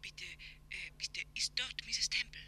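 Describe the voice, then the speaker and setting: An actress playing an anxious headmistress who calls in a 1950s radio drama, speaking over the telephone in a hushed and urgent way. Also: female voice, medium pitch, unhurried. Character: urgent female boarding school head on the phone.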